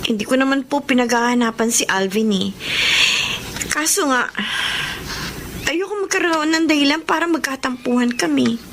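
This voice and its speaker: A woman's voice: sits high, normal speed, long pauses, background noise. An actress playing a loyal, lovelorn young woman in a Filipino radio drama. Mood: subdued, respectful. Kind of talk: Filipino radio drama acting, letter narration. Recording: music bed behind the voice. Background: music.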